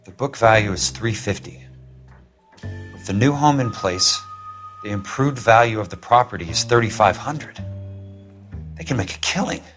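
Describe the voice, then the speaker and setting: Blunt, driven investigator, music behind. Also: male voice, fairly low pitch, lively intonation, moderate pace, long pauses, fairly bright tone. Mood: musing. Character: blunt, driven male investigator and war veteran. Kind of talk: video game drama acting. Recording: music under the voice.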